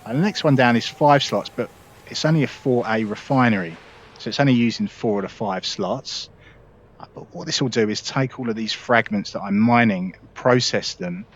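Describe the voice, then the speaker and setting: A man's voice: mid-range voice, normal speed. A man hosting a gaming video, casually walking viewers through his ship setup in a chatty, explanatory way with frequent "uh"s and restarts. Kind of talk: casual gameplay walkthrough.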